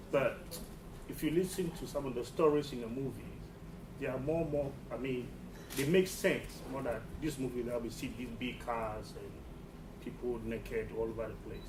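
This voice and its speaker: A man's voice: mid-range voice, moderate pace. A man speaking earnestly from the audience at a press conference, repeating himself and restarting phrases. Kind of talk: spontaneous public comment. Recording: distant mic in an echoey hall with background noise.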